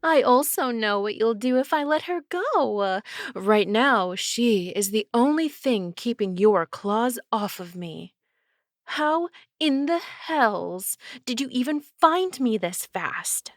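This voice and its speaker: A woman as a slow, taunting vampire villainess. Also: a little high, animated, no echo, studio quality. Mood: menacing. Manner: teasing, sly, drawn-out delivery. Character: taunting female vampire villain, sly, menacing, mocking. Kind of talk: ASMR roleplay audio drama.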